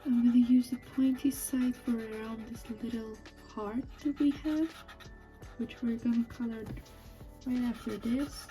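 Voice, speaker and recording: A young woman with a Persian accent chatting softly while she draws, thinking aloud as she goes. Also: on the high side, steady tone, unhurried, rough recording. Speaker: drawing video creator. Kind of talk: casual chat while drawing. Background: faint music and marker scratching.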